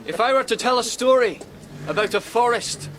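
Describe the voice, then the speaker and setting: An actor playing a sly, cowardly young challenger in a radio drama, with theatrical delivery. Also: male voice, very high-pitched, lively intonation, moderate pace, a bit bright. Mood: sly, taunting. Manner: theatrical, needling and pleading.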